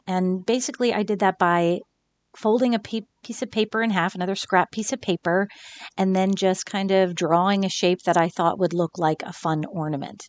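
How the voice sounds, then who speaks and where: A woman hosting a crafting video, talking through her work in a casual voice-over, her voice a little scratchy from a recent illness. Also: lowish voice. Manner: chatty and relaxed, with fillers and small laughs. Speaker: craft channel host.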